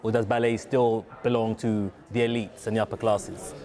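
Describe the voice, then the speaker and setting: A man's voice: on the low side, flat delivery, normal speed, a little mellow. A male TV news reporter asking interview questions in a chatty, teasing way.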